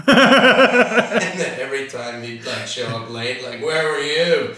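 A man's voice: mid-range voice, expressive, slow pace, background noise. A man telling casual, laughing stories as a comedy podcast co-host. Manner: laughing storyteller, lots of fillers. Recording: very echoey room, mic at a distance.

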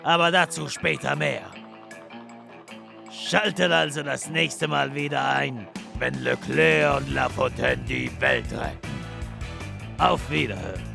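A man's voice: medium pitch, slow, gravelly, over music. A man playing a pompous, grumpy narrator of a comic detective audio drama, speaking theatrically. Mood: suspenseful. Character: pompous, grumpy male storyteller of a detective serial.

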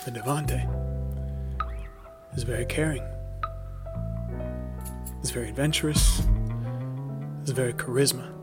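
A male actor playing a wrongly imprisoned young man telling his story in a halting, reflective monologue for a documentary audio drama. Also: medium pitch, loud music behind the voice, lightly acted. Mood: solemn, earnest.

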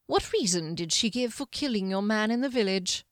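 A sly male wizard, put on by a woman reading aloud, speaks in a slow, smug drawl. His calm carries quiet menace. The recording is dry and close. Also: mid-range voice, dry recording, pitched above the performer's own voice, natural voice. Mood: cold.

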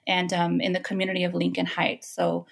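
An American woman speaks as an interview guest, with noticeable vocal fry and a slightly low pitch. She shares personal stories casually, in a reflective and appreciative manner, pausing hesitantly as she goes. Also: monotone. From Los Angeles, United States. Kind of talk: personal storytelling in casual talk.

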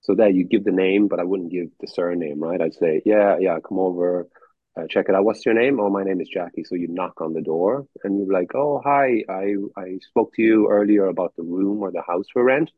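A man's voice: sits low, fairly quick, slightly rough, no room sound. Irish man on a tinny call. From Ireland. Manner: easygoing storyteller, stumbles and repeats words. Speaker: guest. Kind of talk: casual interview chat. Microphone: video call. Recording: tinny dry call audio.

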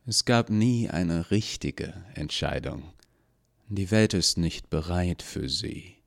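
A man reading an audiobook, voicing a calm, cynical male leader who speaks slowly. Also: low voice, vocal fry, no room sound, studio quality, exaggerated voice.

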